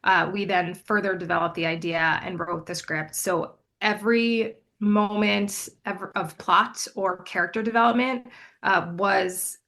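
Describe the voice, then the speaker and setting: A woman speaking as a guest in an interview, with a bright, forceful voice. She explains her process in a measured, steady way. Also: medium pitch. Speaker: co-writer, guest. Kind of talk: casual interview chat.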